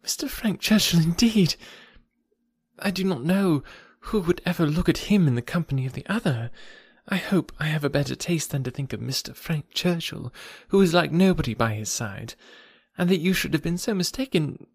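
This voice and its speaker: An eager, naive young woman, acted out by a male narrator in a classic novel reading. The voice is high and swoops excitedly, gushing with breathless indignation. The sound is close and dry. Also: normal speed, dry recording. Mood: agitated, earnest. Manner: gushing and emphatic. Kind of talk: classic novel audiobook character dialogue.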